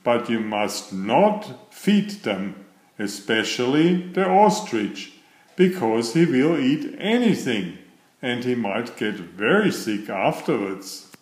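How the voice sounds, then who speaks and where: A man reading a children's book aloud as narrator, with expressive, deliberate phrasing. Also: medium pitch. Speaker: storybook reader. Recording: roomy, echoing sound.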